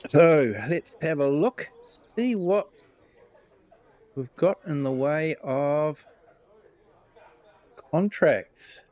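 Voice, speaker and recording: A man's voice: on the high side, very slow, pauses often, a little mellow, muffled recording. A man with a New Zealand accent streaming a farming game, chatting casually and reading out viewer comments. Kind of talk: casual live gameplay commentary.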